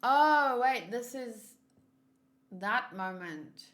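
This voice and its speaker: A woman with a fairly high voice talks casually as the host of a read-along. She is blunt and sweary, reacting off the cuff between passages. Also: expressive, extremely slow, fairly bright tone.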